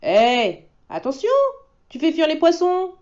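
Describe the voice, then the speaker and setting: A woman's voice: very high voice, a little slow, voice pushed up, full character voice. A woman voicing a bossy, confident little boy who scolds and chatters in a storybook reading, on a slightly dull recording. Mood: scolding. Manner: scolding, lively.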